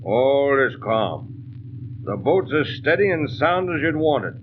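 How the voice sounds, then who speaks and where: A muffled 1930s radio recording with clear background noise carries a superstitious steamship captain. He speaks in a mid-pitched voice, folksy and plain, with salty unease. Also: sing-song, measured pace. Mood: uneasy foreboding. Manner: folksy, salty seaman's talk.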